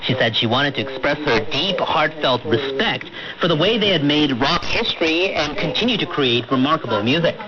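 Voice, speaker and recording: A man's voice: fairly high pitch, expressive, normal speed, few pauses, crowd noise. A man reading scripted news as a radio anchor. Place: radio studio, heard through shortwave reception. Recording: muffled shortwave radio broadcast with static.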